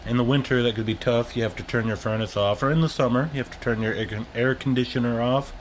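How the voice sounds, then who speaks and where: A man's voice: mid-range voice, steady tone, quick pace, slight background noise. A man chatting casually as an interviewed sound engineer, explaining things in a rambling, colloquial way.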